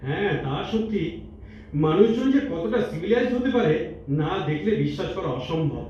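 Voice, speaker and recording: A man's voice: high voice, expressive, normal speed, a little mellow. Echoey, distant, playful old grandfather acted by a man. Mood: jovial, welcoming. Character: teasing, affectionate old grandfather, playful with his wife. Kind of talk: amateur staged audio drama acting. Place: multipurpose hall stage. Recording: distant, echoey hall recording, muffled, faint noise.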